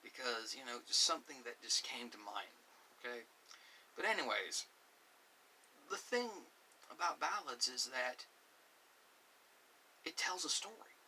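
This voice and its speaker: A man's voice: mid-range voice, expressive, unhurried, long pauses, sharp tone. A man rambling informally in an ASMR music talk, musing and opinionated, often drifting into asides. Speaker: host of an ASMR channel. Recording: distant mic with hiss.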